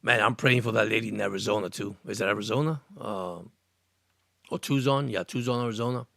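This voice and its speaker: A man's voice: lowish voice, a little fast, creaky, fairly bright tone, dry recording, clean studio sound. A man of about sixty from Cuba, raised in Miami, hosting a casual sports live stream, chatting in a rambling, earnest way and answering viewer comments. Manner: rambling, repeats words and restarts, earnest. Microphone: studio microphone.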